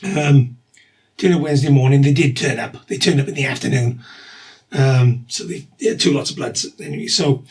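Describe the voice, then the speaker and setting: A middle-aged man with a husky voice and a slight cough, talking through his treatment in a rambling, matter-of-fact video diary. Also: mid-range voice, normal speed. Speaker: vlogger documenting his cancer treatment.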